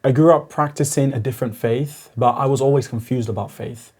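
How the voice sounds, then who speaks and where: A man's voice: mid-range voice, fairly even intonation, fairly quick, a little mellow, breathy edge. A young man from East Africa, a podcast guest telling his spiritual journey in an earnest, searching way. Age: young adult. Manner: earnest, searching, builds to intensity. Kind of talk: reflective personal story.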